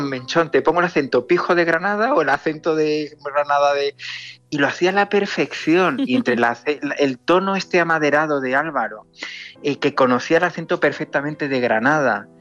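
A man's voice: a little high, a little fast, a bit bright, lo-fi sound, faint music behind. A man from Andalusia who is a film director, answering interview questions thoughtfully, with frequent restarts and fillers.

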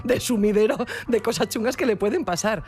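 Quick playful woman hosting radio, bit of a navarrese accent, studio with music under. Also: a little high, a little mellow. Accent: Navarrese Spanish. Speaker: radio show host. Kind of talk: casual on-air chat.

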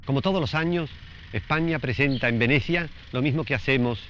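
A man's voice: mid-range voice, a little fast, fairly bright tone, highs cut off, slight background noise. A man speaking in a newsreel style, heard on an old archive recording.

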